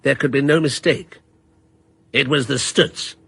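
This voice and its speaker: An actor playing a persuasive middle-aged banker in a radio drama, also narrating the story. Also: male voice, medium pitch, fairly even intonation, measured pace, creaky, a bit bright, a little hiss or hum. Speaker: narrator and character.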